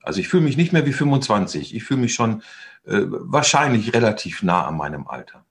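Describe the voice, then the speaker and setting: A fifty-year-old man answering interview questions thoughtfully, pausing often with fillers. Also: fairly low pitch, sing-song. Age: 50s. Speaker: guest, stage actor and director.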